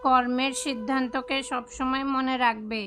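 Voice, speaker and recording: Woman voicing a bright-voiced, preaching holy hermit crow. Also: high-pitched, steady tone, moderate pace, faint music behind. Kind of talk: cartoon moral story acting.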